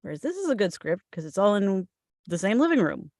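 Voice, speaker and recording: A woman's voice: mid-range voice, very animated, brisk, a little mellow, dry recording, studio quality. A woman chatting in a casual group discussion, conversational and ready with her opinions.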